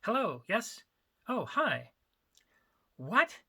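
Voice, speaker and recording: Man putting on a high, frantic little swindler. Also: huge pitch swings, very slow, vocal fry, bright, forceful tone, husky, full character voice. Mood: panicked. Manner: frantic, blurting. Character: panicky, nervous little male art dealer, cheating schemer. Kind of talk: dramatic story reading.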